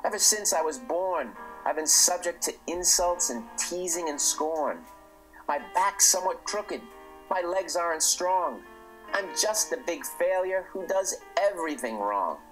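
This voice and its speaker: Guy doing a scared weak little donkey from a storybook, high and pleading, music under it. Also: lively intonation, a little slow, a bit bright, low-quality recording. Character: frightened, weak young male donkey.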